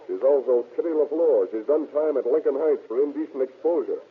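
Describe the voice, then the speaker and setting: Hard-boiled needling detective, pushy and knowing, tinny old radio recording. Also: male voice, mid-range voice, fairly quick, husky. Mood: stern, needling. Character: dogged, needling male police detective interrogator. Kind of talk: old-time radio drama acting.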